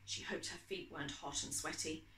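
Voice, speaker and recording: A woman's voice: deep voice, fairly even intonation, moderate pace, pushed and bright, reverberant, rough recording. A woman author reading romantic fiction aloud in a storytelling style. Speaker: author reading from own novel.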